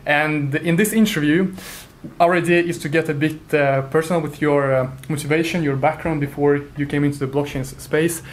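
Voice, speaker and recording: A man's voice: a little high, fairly even intonation, fairly quick. A man hosting a channel interview, upbeat and friendly as he prompts his guest with questions.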